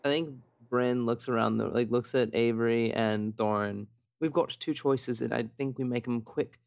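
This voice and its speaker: A man's voice: mid-range voice, steady tone, normal speed, creaky, darkish tone. A man playing in a tabletop roleplaying podcast, speaking in character and describing what the character does. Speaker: player in an actual-play podcast.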